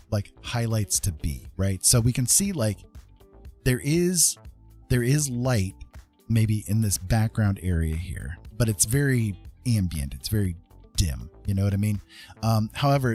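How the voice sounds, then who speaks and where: A man with a fairly low voice hosts a casual live art tutorial. He rambles and thinks aloud as he explains his painting process. Music plays along behind him. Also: a little mellow, breathy edge.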